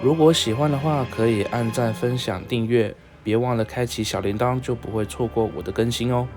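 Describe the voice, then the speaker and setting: Channel host read: male, medium pitch, scripted sign-off narration with faint music underneath. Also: fairly even intonation, brisk.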